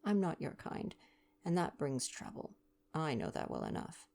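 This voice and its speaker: A man voicing a cheeky, breezy young English woman in a storybook, with slangy, brisk, flippant talk. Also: fairly high pitch, expressive, gravelly, darkish tone, a little hiss or hum.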